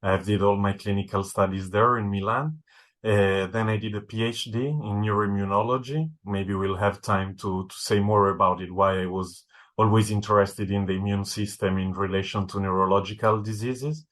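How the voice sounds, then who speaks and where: A man with an Italian accent and a fairly low voice, answering as a doctor being interviewed. He speaks thoughtfully and often repeats a word while he finds the next one. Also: steady tone, moderate pace, fairly bright tone. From Italy. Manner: thoughtful, often repeating words as he searches for them. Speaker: medical director, neurologist. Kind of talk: interview guest.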